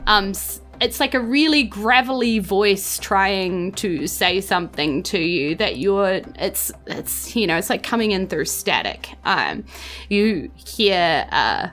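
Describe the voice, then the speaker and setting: Female game master, New Zealand accent. Improvised, conversational narration, average pitch, light music bed. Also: expressive, fairly bright tone. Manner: conversational, halting improvised descriptions. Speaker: game master of a tabletop game podcast.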